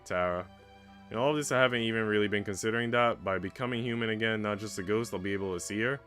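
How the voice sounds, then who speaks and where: A man's voice: fairly low pitch, fast talker, fairly bright tone, faint music behind. A man streaming a visual novel, reading its narration aloud and adding casual joking asides.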